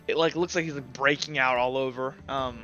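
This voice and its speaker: A man running a tabletop roleplaying game as game master, improvising narration in a casual, halting, sweary way. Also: medium pitch, steady tone, sharp tone, highs cut off, faint music behind.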